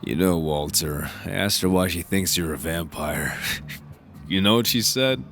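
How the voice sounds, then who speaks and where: A man's voice: deep voice, unhurried, creaky, a bit bright, soft background music, heavily acted. A man playing a jovial, blunt middle-aged insurance salesman and family man in a radio drama, talking in a joking, folksy way. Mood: bemused.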